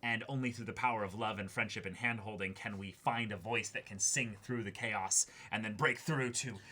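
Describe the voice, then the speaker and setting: A man's voice: lowish voice, fairly even intonation, moderate pace, sharp tone. A man co-hosting an anime reaction show, talking casually and often repeating and rephrasing himself as he works through his thoughts. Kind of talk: casual discussion.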